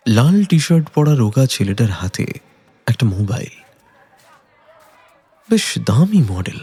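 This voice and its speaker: A man giving a dramatic, suspenseful scripted narration of a detective story in a measured way. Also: medium pitch, big pitch swings, warm tone, husky.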